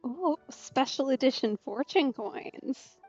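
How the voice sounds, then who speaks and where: A woman's voice: sits high, expressive, slow pace. A woman giving casual let's play commentary, musing and reacting aloud in short remarks, in slightly muffled stream audio. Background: soft game music.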